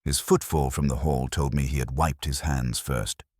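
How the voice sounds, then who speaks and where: A man's voice: rumbling low, fairly even intonation, normal speed, a little mellow, no echo, clean studio sound. A man narrating a detective audiobook in a wry, wisecracking first-person style.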